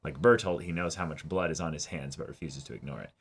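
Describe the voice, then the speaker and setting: A man's voice: very deep voice, quick pace, breathy edge. A man co-hosting an anime reaction show, talking casually and sometimes reading viewer comments aloud.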